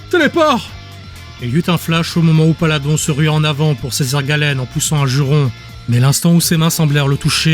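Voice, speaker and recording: A man narrating a scripted fantasy audiobook in a dramatic storytelling style. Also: on the high side. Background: music.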